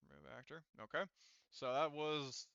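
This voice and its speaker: A man with a bright, forceful voice runs a tabletop roleplaying game. He talks casually and thinks aloud through rules and tallies. The sound is very dry and close, with a somewhat dull, band-limited top end. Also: on the high side, sing-song, normal speed, creaky, clean tone, no room sound. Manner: thinks aloud while counting and working out rules.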